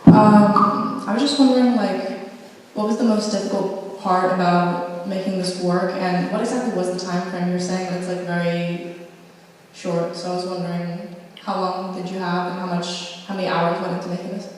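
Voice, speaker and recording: A woman's voice: lowish voice, steady tone, normal speed. A woman in an audience asking a casual question in a hesitant way. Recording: very echoey hall, far mic, faint noise.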